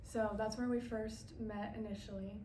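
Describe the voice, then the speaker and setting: A woman's voice: medium pitch, flat delivery, normal speed, mellow. A woman, a podcast guest telling a story in a chatty way and laughing as she goes. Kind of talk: casual storytelling. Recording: echoey room, mic at a distance, faint noise.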